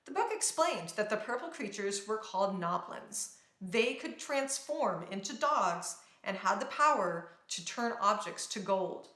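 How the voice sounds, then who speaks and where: A woman reading a children's storybook aloud in a dramatic, expressive storytelling manner. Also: medium pitch, measured pace. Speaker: storybook reader. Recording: roomy, echoing sound.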